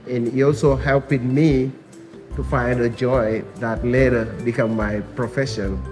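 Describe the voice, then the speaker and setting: Senegalese-accented male chef, calm and plain, mid-pitched, music bed under him. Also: measured pace. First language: Wolof. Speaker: restaurant owner and chef. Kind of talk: documentary interview, explaining his food.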